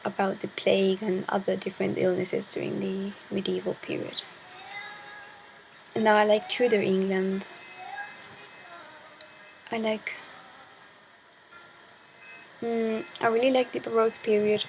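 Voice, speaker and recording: Shy teenage girl from Chile, native Spanish speaker, with a creaky, monotone delivery. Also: mid-range voice, slight background noise, low-quality recording. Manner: hesitant, shy, searching for words. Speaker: vlogger. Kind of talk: casual talk to camera.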